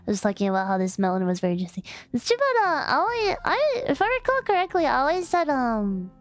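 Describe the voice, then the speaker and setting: A woman's voice: sits high, wildly swooping pitch, normal speed. A young woman casually chatting on a livestream, giggly and rambling, with lots of fillers and restarts, playful and affectionate toward her chat. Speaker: streamer. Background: faint background music.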